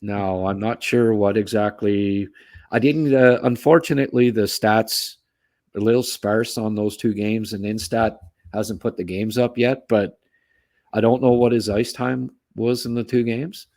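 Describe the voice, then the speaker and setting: A man with a fairly low voice talks hockey as a podcast co-host. He is chatty and informal, breaking down plays and prospects, and likes to end a thought with a quick "eh". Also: steady tone, darkish tone. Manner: chatty, tags remarks with "eh", chuckles at own asides. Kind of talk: casual hockey analysis.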